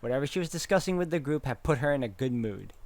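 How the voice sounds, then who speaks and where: A man's voice: mid-range voice, animated, fast, darkish tone, dry recording, close to an everyday voice. A man playing a brooding, uneasy protagonist, narrating a visual novel in a dramatic, introspective first-person style.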